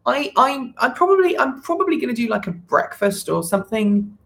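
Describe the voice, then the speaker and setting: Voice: medium pitch, big pitch swings, fairly quick, gravelly, fairly bright tone. A live stream host chatting casually and unscripted, rambling and thinking aloud. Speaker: channel host.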